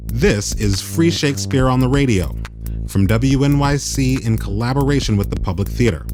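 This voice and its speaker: An American man hosting a radio program, moving between scripted narration and interview questions. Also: on the low side. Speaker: radio host.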